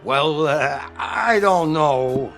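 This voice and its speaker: A man's voice: fairly high pitch, lively intonation, drawn-out words, fairly bright tone, soft background music, heavily acted. An actor playing a worried, loyal cornerman in a radio drama, pleading and protective in plain slangy speech. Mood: uneasy, placating.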